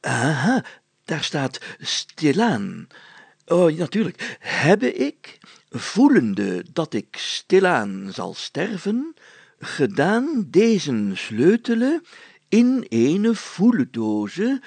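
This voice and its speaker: A man voicing a pompous, excitable town mayor in a children's story, spluttering and stumbling over his words. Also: a little high, very slow, gravelly, breathy edge, dry recording, pitched above the performer's own voice, heavily acted. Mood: halting, solemn. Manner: spluttering, hesitant, exclamatory, stumbling while deciphering.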